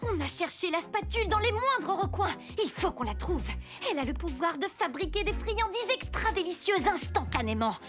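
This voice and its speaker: A greedy, scheming baker villainess for a children's cartoon. Her voice is very high and swoops dramatically. She gloats and exclaims theatrically, with music playing behind her. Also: sing-song, normal speed, few pauses. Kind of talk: French-dubbed children's cartoon acting.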